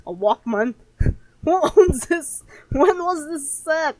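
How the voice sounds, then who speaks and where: A woman's voice: high voice, animated, slow, dry recording, poor audio. A woman streaming a game with casual, reactive commentary, sarcastically talking back to the characters. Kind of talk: casual let's play commentary.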